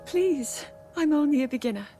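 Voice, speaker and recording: Humble young game princess, high mellow voice, earnest, soft-spoken, faint music. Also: female voice, lively intonation, moderate pace.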